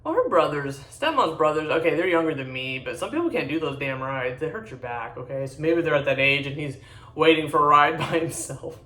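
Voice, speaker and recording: A deep-voiced woman in her forties chatting casually to her viewers, rambling, blunt and candid. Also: expressive, a little fast, bright, forceful tone, recorded from a distance. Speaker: vlogger. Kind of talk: casual chat to camera. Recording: echoey room, voice a bit off-mic.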